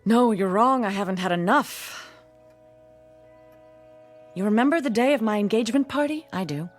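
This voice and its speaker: An actress playing a bitter, haunted, hard-drinking woman in her forties in a radio drama, theatrical and sardonic with a husky voice. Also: mid-range voice, expressive, normal speed, close to an everyday voice. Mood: agitated, manic. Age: 40s. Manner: theatrical, sardonic, self-pitying. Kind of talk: old-time radio drama acting. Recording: radio drama with music underneath.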